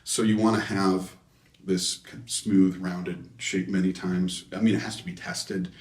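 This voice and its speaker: Low-voiced man explaining, in an echoey room. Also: little pitch movement, measured pace. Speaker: loudspeaker designer being interviewed. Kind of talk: casual technical interview talk. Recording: echoey room, distant microphone.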